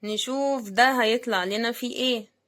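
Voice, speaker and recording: Higher-pitched Egyptian woman, casual unboxing chat. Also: moderate pace, a bit bright. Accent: Egyptian Arabic. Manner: chatty, addresses viewers directly. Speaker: host of product unboxing videos. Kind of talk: casual unboxing commentary to viewers.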